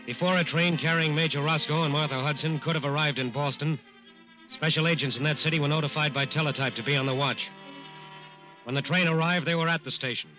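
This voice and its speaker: An actor as an authoritative crime-drama narrator, emphatic and declamatory in 1940s radio style. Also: male voice, fairly high pitch, steady tone, brisk, natural voice. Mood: urgent, grave. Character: urgent, authoritative male crime-drama announcer. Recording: muffled old-time radio recording. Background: music.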